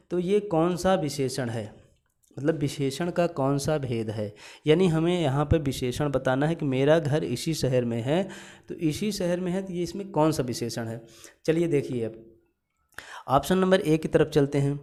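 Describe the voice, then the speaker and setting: A man's voice: fairly high pitch, warm tone. A male teacher going through exam questions step by step, asking questions and answering them himself, and repeating each answer. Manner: explains step by step, repeats answers, asks and answers his own questions. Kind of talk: exam-prep lesson.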